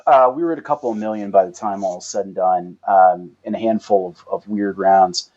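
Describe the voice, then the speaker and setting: Male founder as interview guest, low slightly rough voice, explanatory, checks in with rhetorical questions, muffled lo-fi sound. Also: fairly quick, a little mellow. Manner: uses rhetorical questions and tag "right?". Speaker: startup founder and chief executive, guest.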